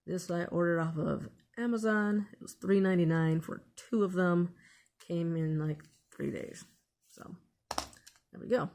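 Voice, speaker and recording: A woman's voice: fairly low pitch, steady tone, a little slow, gravelly, a little mellow. A woman hosting a casual hands-on DIY tutorial, thinking aloud in an unscripted way as she works. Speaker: DIY video host.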